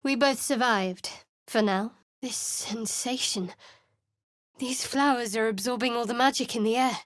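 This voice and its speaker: A woman's voice: on the high side, unhurried, a bit bright, no echo. An actress voicing a guarded, sardonic young woman cleric in a video game, speaking in a dry, cool manner. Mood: wary. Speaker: game character. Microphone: studio mic.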